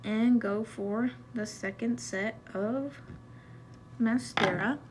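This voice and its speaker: A young woman walking through a makeup tutorial in a relaxed, chatty way, with loose fillers as she goes. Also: on the high side, slow pace, very noisy. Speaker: beauty vlogger. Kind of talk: casual makeup tutorial narration.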